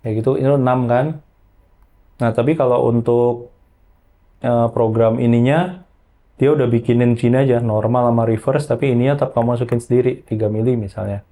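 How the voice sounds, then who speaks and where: A man explaining software in an informal, hands-on tutorial, using colloquial tags as he goes. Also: medium pitch, steady tone, a little fast. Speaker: audio software trainer.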